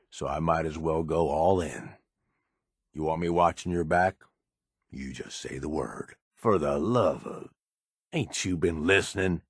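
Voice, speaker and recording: A gravelly, crude raider lieutenant. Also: male voice, lowish voice, dry recording, studio quality. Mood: companion talk. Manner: gravelly, crude and sardonic, tough-guy drawl. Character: gruff male raider lieutenant, scheming and crude. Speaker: companion character. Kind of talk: video game combat and companion barks.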